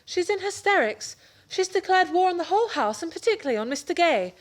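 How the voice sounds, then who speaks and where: A flustered young wife with a high voice, fussing and fretting over everything at once. Her lines come in the anxious, fluttering manner of a 1930s radio play. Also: very animated, fast.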